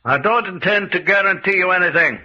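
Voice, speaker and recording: An actor playing a calm, stern, principled doctor who commands his men formally and precisely in an old radio mystery. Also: high voice, a little fast. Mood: firm, urgent. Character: calm, stern, principled male doctor leading scientist captors. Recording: muffled old radio recording.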